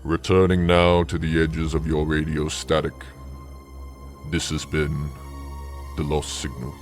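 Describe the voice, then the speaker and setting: A man hosting a late-night radio show in a measured, formal scripted delivery. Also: rumbling low, level tone. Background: music.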